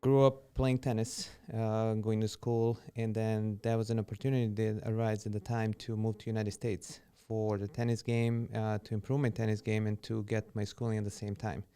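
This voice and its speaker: A man in his forties, from Serbia, talks as a guest in a casual interview. His voice is smooth yet gravelly and warm in tone. He speaks in an unhurried way, filling gaps with little fillers. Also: lowish voice. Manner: relaxed, unhurried with frequent fillers. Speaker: podcast guest, real estate agent.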